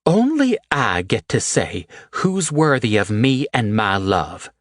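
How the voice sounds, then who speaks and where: A man's voice: sits high, sing-song, measured pace, no room sound, studio quality, voice pushed up, full character voice. A man voicing a hurt, tearful young woman who pleads and then turns fierce.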